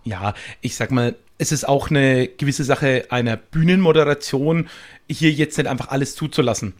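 A man's voice: mid-range voice, normal speed. A man chatting as a podcast guest, explaining improv in a talkative, casual way with little examples.

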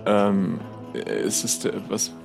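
A man's voice: lowish voice, big pitch swings, slow pace, creaky, over music. A man improvising casual, tentative dialogue as a player in an audio role-play, often asking questions.

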